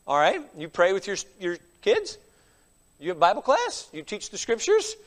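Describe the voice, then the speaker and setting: A man's voice: sits high, huge pitch swings, moderate pace. A middle-aged man preaching a sermon as pastor, a little stuffy from a cold, emphatic, with frequent "all right?" asides.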